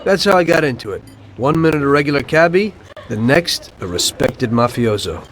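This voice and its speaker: A man's voice: medium pitch, moderate pace, slight background noise, close to an everyday voice, rough recording. An actor playing a former cab driver turned mafioso who coolly tells his story to a detective in a game cutscene. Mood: calm. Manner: calm, matter-of-fact storytelling. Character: male mafioso turned informant, calm and unrepentant. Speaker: narrator of his past. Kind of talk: video game cutscene acting.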